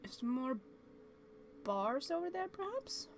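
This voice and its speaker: A woman streaming a game with casual, expressive commentary, thinking aloud and reading on-screen text as she goes. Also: high voice, a little slow, gravelly, a little hiss or hum.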